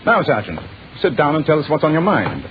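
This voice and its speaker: An actor playing a keen, commanding British detective with an English accent and an incisive manner, in 1940s radio drama style. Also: male voice, a little high, wildly swooping pitch. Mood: decisive. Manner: brisk, incisive, authoritative. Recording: muffled, noisy old radio recording.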